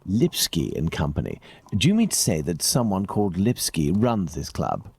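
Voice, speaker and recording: An actor playing a keen, self-assured gentleman detective with an English accent in a video game, precise and formal in his manner. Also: low-pitched, huge pitch swings, moderate pace, creaky, breathy edge, exaggerated voice. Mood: probing.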